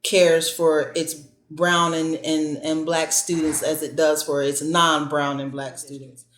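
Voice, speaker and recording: A woman in her forties, a barber and barber educator, answering interview questions slowly and thoughtfully. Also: sits low, little pitch movement. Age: 40s. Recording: echoey room, faint music.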